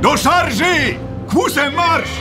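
An actor playing a bold cavalry officer shouting drawn-out commands in a war audio drama. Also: male voice, extremely high pitch, lively intonation, over music, full character voice. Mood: commanding.

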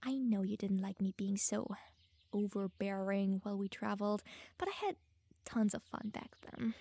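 A woman's voice: medium pitch, normal speed, warm tone, breathy edge, no echo. A young woman playing a teasing, smug, secretly lovestruck elf mage in an audio roleplay, playful and easily flustered. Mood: soft, wistful muttering. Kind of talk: audio roleplay addressed to the listener.

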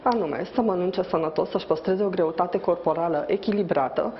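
A middle-aged woman obstetrician explaining things calmly as a guest on a TV interview, with measured speech and frequent hesitant fillers, on a lapel mic in a hospital lobby. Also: fairly low pitch. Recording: dull, slightly noisy broadcast audio.

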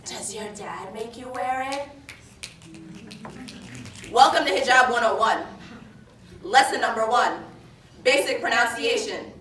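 A young woman recites spoken word with forceful emphasis. Her voice is high and bright. She is picked up from a distance in a roomy space. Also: measured pace, pauses often. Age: young adult. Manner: emphatic, declamatory. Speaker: slam poet. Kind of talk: spoken word poetry performance. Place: indoor stage in front of a projection screen. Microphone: far camera. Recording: roomy, distant microphone, background noise.

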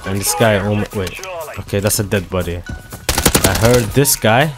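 A man giving excitable, slangy commentary over his own shooter gameplay, his voice a little hoarse from a dry throat. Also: lowish voice, moderate pace. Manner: excitable, slangy trash-talking banter. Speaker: gaming video creator. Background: loud background music and gunfire.

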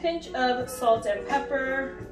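A woman's voice: sits high, slow pace. A young adult woman giving a casual, informal cooking demonstration as a home cook in her kitchen. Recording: roomy echo, distant mic, background music. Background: music.

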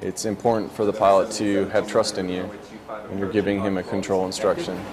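A man's voice: deep voice, little pitch movement, a little fast, a crowd in the background. A man in his twenties explaining his work as a military air traffic controller in an informal, matter-of-fact interview. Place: indoors in a glass-walled control tower.

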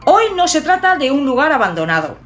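A woman in her fifties from Catalonia, with a southern-sounding Spanish accent from an Extremaduran father, chatting to camera in a jokey, expressive way from a small room at home. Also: high-pitched, brisk, soft background music. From Catalonia, Spain. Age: 50s. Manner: chatty, expressive, jokey, lively hand gestures. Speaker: urban exploration vlogger. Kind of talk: personal talk to camera.

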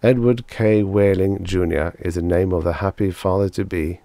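A man's voice: sits low, fairly even intonation, moderate pace, mellow, dry recording. A man voicing a wretched, bitter expectant father in a hollow, taut, sardonic manner for an audiobook. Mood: hollow sarcasm. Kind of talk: audiobook character acting.

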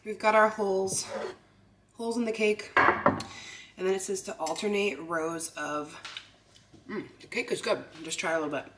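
A woman's voice: lowish voice, vocal fry, noisy background. A woman vlogger chatting casually through a baking project at home, talking through each step and giggling often. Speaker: lifestyle vlogger. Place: home kitchen.

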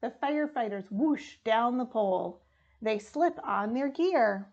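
A young woman reads a picture book aloud for children. Her voice is high and she takes her time, reading deliberately and expressively. The indoor recording sounds slightly dull and lo-fi. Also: expressive, darkish tone. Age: young adult. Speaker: firefighter reading a storybook. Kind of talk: children's storybook read-aloud. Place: small room indoors.

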